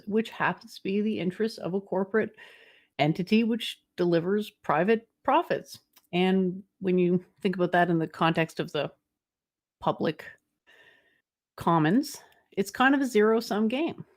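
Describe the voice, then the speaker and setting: A woman giving informal news commentary in a deliberate, reflective way, pausing mid-phrase. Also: medium pitch. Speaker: co-host commentator.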